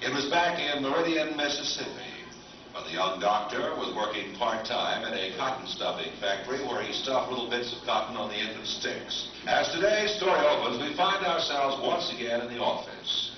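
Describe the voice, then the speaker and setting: A man's voice: medium pitch, lively intonation, moderate pace, slight background noise, close to an everyday voice. A man playing a grandiose radio soap opera announcer, pompous and dramatic in an old-time serial parody. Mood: portentous. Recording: echoey hall, distant, muffled, music behind.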